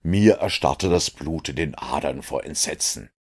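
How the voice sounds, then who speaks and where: A man narrating a detective audiobook in a measured, grave way. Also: rumbling low, a little mellow, slightly rough. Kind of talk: scripted narration.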